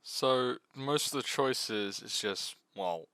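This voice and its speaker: A man's voice: medium pitch, slow pace, sharp tone, no room sound. A man giving a casual video essay review of visual novels, full of flippant, irreverent asides.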